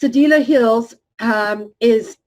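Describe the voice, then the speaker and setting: A woman's voice: on the high side, slow, a little mellow. Woman lecturing through a slide presentation. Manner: earnest, explanatory, frequent "um" fillers.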